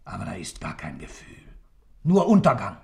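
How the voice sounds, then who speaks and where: An actor in a German radio drama playing an intense, brooding man with a theatrical, deliberate delivery. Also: deep voice, wildly swooping pitch, creaky, full character voice. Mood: impassioned. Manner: theatrical, deliberate, swinging from hushed to forceful.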